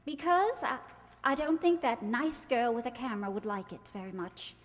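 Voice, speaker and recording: A woman's voice: a little high, moderate pace, natural voice. A woman playing a proud, witty young society bride in a radio play, theatrical and emotional. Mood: gentle, regretful. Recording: stage hall reverb, faint music.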